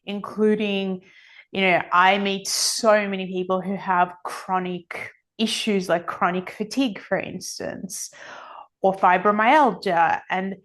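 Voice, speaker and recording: A woman's voice: mid-range voice, fairly even intonation, slow pace, fairly bright tone. A middle-aged woman from Australia speaking as an interview guest, explaining things earnestly and conversationally with emphasis on key words. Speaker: interview guest, energy medicine author.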